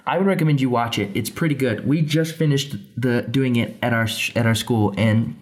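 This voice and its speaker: A young man co-hosting a podcast, chatting casually in a quick, rambling, joking way. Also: medium pitch. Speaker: podcast co-host. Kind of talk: casual chat.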